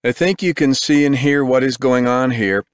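A man's voice: medium pitch, normal speed, clear, resonant tone, dry recording, studio quality, voice pushed up, lightly acted. An actor reading as a male TV field reporter, speaking urgently in a raised voice. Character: male on-scene TV reporter, tense, breathless.

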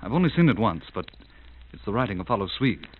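An earnest, worried young gentleman. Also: on the low side, very animated, quick pace. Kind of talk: 1930s radio drama acting. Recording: tinny scratchy 1930s radio recording with hiss.

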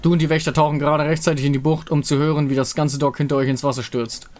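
A man reading scripted story narration for a fantasy game in a measured, dramatic way. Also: a little high, flat delivery, darkish tone.

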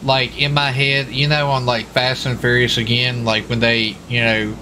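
A man's voice: mid-range voice, level tone, normal speed, sharp tone, low-quality recording. A man rambling in a joking, folksy way during casual in-character gaming banter. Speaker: role-play gamer playing a US marshal. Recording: game voice chat with clear vehicle noise.

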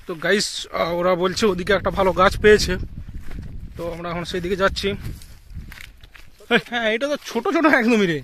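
High-voiced man vlogging outdoors. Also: a little fast, long pauses, slight background noise. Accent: West Bengal, Midnapore. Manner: chatty, addresses viewers as guys, gets excited. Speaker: vlogger filming his group. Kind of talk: casual vlog commentary to camera. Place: outdoors in a cashew grove.

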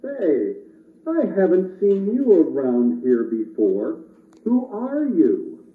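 A man's voice: a little high, wildly swooping pitch, slow pace, exaggerated voice. A man playing a jolly, kindly old Santa in a children's puppet show. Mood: jolly, curious. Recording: echoey, tinny, distant camera.

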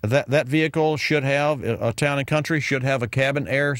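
Chatty middle-aged radio car-show host. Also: male voice, medium pitch, normal speed, fairly bright tone, no echo, clean studio sound. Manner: chatty, rambling, many fillers and restarts. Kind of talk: call-in radio advice. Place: radio studio with colorful sign backdrop. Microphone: studio mic on boom arm, wearing headphones.

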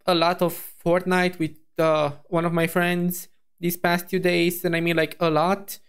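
A man streaming as a VTuber, reacting to a video in casual, rambling chat full of fillers and restarts, his voice hoarse. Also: sits high, flat delivery, unhurried, fairly bright tone.

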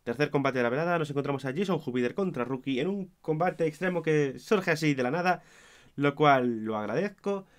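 A hoarse young man giving an opinionated, self-mocking casual review, with his volume swinging between loud and mumbled. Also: fairly high pitch, animated, brisk. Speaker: wrestling show reviewer.

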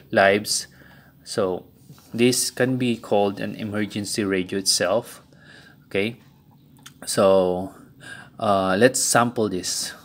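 A man giving a casual, explanatory product demonstration, somewhat hesitant, often checking in with the listener. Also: on the low side. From Philippines. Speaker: product reviewer. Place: indoors, thick-walled building. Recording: noticeable background noise.

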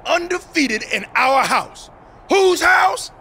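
A man playing a boisterous pro wrestler in a video game, booming like a theatrical showman. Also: lively intonation, slow pace, gravelly, bright, forceful tone, slight background noise, exaggerated voice. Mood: rousing. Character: boisterous male pro wrestler hyping up his team. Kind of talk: wrestling game cutscene acting.

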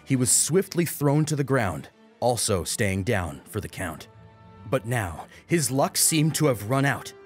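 A man's voice: medium pitch, very animated, unhurried. A man narrating a scripted story as a dramatic audiobook reader. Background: music.

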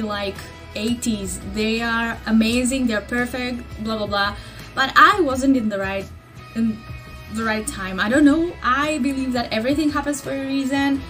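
A young woman from Greece with a Greek accent, chatting to a webcam in her bedroom in English, her second language, rambling with many fillers and restarts. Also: a little high. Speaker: vlogger. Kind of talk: casual chat to camera. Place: small bedroom indoors. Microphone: laptop webcam mic at desk distance.

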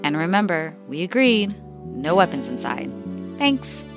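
Trailer spoof: practical deadpan wife woman acting, lowish voice, loud music underneath. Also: unhurried, full character voice. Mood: matter-of-fact. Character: matter-of-fact spouse in parody film trailer.

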